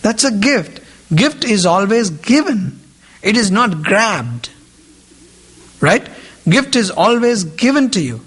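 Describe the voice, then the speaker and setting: A man's voice: sits high, big pitch swings, slow, fairly bright tone, breathy edge. A man preaching a sermon in English, his second language, who repeats key phrases and puts questions to his listeners. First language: not English; has a different mother tongue. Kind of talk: sermon to a group.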